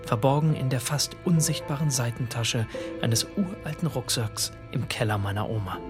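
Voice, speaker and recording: An actor playing a fascinated grandson who narrates a radio drama in the first person, in a musing way with clipped phrases. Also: male voice, medium pitch, moderate pace, close to an everyday voice. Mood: musing, intrigued. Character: reflective grandson, fascinated male narrator of a discovery. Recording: music behind the voice. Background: music.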